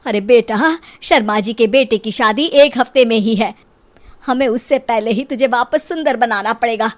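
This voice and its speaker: A woman's voice: on the high side, moderate pace, natural voice. A woman voicing a proud, doting middle-aged mother-in-law in a cartoon, chatty, coaxing and boastful. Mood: urgent.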